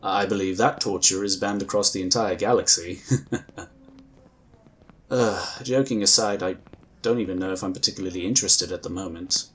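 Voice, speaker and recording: A man playing a calm, diplomatic male inquisitor who talks in a measured, courteous way. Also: low voice, natural voice. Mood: friendly. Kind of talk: fan audio drama acting.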